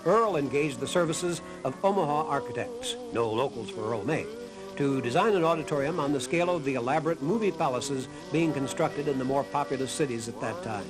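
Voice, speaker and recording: A man's voice: mid-range voice, a little fast, low-quality recording. A man reading a scripted historical documentary narration. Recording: narration over background music. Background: music.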